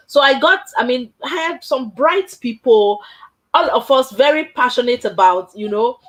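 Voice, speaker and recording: A woman with a husky, slightly breathy voice pitched a little high, hosting a relaxed conversation about careers. She tells stories with animation, laughs often and drives her points home with emphatic tag questions. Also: normal speed. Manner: emphatic, tags points with "right?". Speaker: host. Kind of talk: casual chat.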